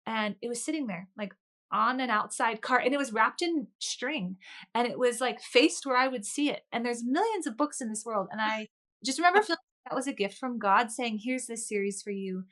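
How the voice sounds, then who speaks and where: A woman with a bright, forward voice that sits fairly high. She talks as a guest author in an interview, chatty and earnest, in a conversational storytelling way.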